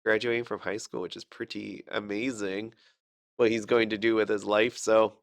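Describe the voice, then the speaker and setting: A man's voice: lowish voice, little pitch movement, moderate pace, smooth, no room sound. A sick man rambling through casual gameplay commentary, chatty and self-deprecating, with lots of fillers and restarts. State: sick, fighting a cough. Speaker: gaming channel host.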